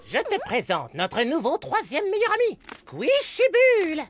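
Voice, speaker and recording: A woman's voice: sits high, wildly swooping pitch, moderate pace. A woman playing an earnest, sensible young male creature in a French cartoon dub, speaking with a cautious manner. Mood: encouraging.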